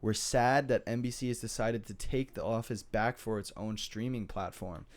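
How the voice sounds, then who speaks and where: Young male podcast host, congested, slangy and rambling, low-pitched. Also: fairly even intonation, moderate pace. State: stuffy nose. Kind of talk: casual chat.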